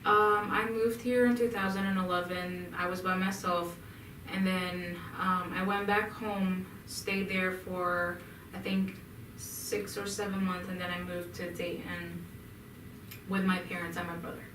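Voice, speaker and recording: Hesitant young woman from Egypt, low and flat, in an echoey room. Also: measured pace, background noise. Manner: hesitant, many ums and restarts. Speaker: interview guest. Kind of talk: casual interview answers. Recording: echoey room, mic at a distance.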